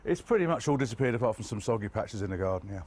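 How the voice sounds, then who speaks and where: A man's voice: fairly low pitch, lively intonation, rapid-fire, a little mellow. A British man giving a casual account as an interviewed householder. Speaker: interviewed flood victim, householder.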